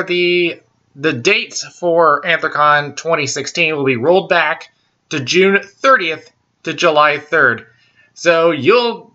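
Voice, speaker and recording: Slow, halting man with a bright baritone. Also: dull audio. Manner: slow and halting, many ums and restarts. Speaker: podcast host. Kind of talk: solo casual talk show.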